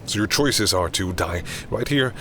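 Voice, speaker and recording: A man playing a commanding vampire in an audio roleplay, haughty and deliberate, menacing yet reluctantly caring toward humans. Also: fairly low pitch, lively intonation, slight background noise. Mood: negotiating, apologetic. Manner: haughty, deliberate, often drops into hushed brooding. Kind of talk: dramatic audio roleplay addressed to the listener.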